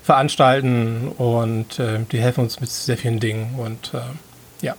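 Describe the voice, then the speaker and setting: A man in his forties, a guest on a podcast. He talks modestly in a middle-pitched voice and often pauses to find words. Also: level tone, breathy edge. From Hamburg, Germany. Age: 40s. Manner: modest, hesitant, searching for words. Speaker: guest, convention organiser. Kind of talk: casual podcast conversation.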